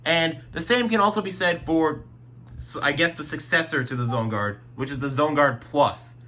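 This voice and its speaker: A man's voice: on the high side, moderate pace, pushed and bright. A man casually showing off his radio collection at home, rambling, restarting sentences and swearing now and then. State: throat getting sore. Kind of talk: casual show-and-tell vlog. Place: at home at night.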